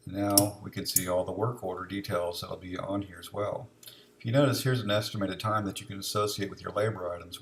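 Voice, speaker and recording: Male software demonstrator, low voice, informal step-by-step walkthrough, some background noise on the recording. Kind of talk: unscripted step-by-step software tutorial narration.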